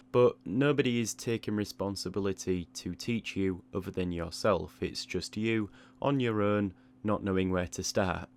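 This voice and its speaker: A man giving a reflective advice monologue in a measured, explanatory way, sometimes repeating small words mid-sentence. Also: deep voice, a little mellow. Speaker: online art channel creator.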